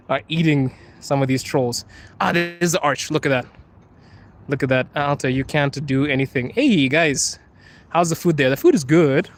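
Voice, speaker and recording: A young man from Zimbabwe live-streaming from his phone on a wet street at night, chatting casually, laughing often and teasing his viewers. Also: on the high side. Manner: laid-back, laughs often, playful teasing. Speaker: livestream host. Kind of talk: casual live-stream chat, reading comments. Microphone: phone held while walking.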